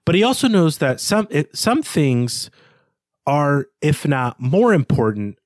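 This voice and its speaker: A man co-hosting a podcast, talking through literary analysis in an analytical, measured manner. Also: a little high, big pitch swings, dry recording, studio quality. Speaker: podcast co-host.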